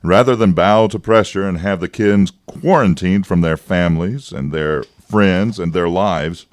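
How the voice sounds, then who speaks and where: An actor in a radio drama playing an evasive, persuasive government agent, smooth-talking and patronizing, with hesitations. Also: male voice, sits low, unhurried, no echo. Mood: guarded, persuasive.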